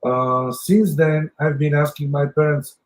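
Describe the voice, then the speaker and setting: A man with a Bulgarian accent, a guest telling stories in an interview, halting with many fillers. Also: fairly high pitch, fairly even intonation, slight background noise. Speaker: guest, audio company owner. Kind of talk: storytelling interview.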